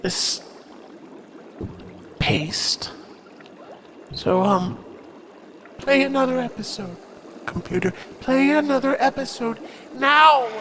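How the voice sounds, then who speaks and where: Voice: sits high, slow pace, vocal fry, pauses often, a bit bright, a little hiss or hum, heavily acted. An actor playing a self-important, whiny ruler in an audio drama, speaking in a plaintive, melodramatic and hesitant way. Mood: self-pitying, insistent.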